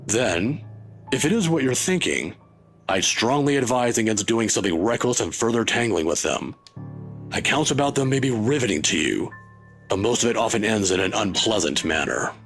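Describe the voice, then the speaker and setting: A man voicing an older male professor who speaks in a measured, lecturing, wry way, with a husky voice. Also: lowish voice, animated, fairly bright tone, faint music behind. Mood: wary.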